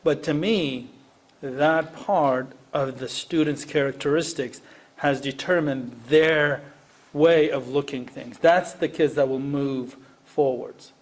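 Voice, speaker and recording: A man's voice: mid-range voice, slow. A man from Iraq speaking unscripted on a panel, emphatic, repeating phrases and posing rhetorical questions. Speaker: education panelist, former science teacher. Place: live panel event.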